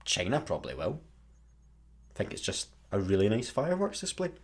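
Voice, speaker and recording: A man acts a sarcastic male satellite worker in a sci-fi radio comedy. He has a Scottish accent and a husky, rough-edged voice. His delivery is grumbling and very animated in pitch. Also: moderate pace, heavily acted. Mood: sarcastic, irritable. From Scotland.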